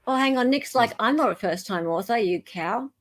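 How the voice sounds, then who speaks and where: An Australian woman hosting a casual live show, excitable and muttering to herself as she searches through documents. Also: a little high, moderate pace. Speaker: podcast host. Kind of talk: casual live chat while reading documents.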